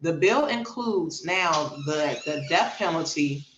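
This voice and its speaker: A woman's voice: low-pitched, takes it slowly, roomy sound, muffled recording, a little hiss or hum. A woman co-hosting a podcast, reading news items and reacting in casual, emphatic chat. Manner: animated, emphatic storytelling. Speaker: podcast co-host.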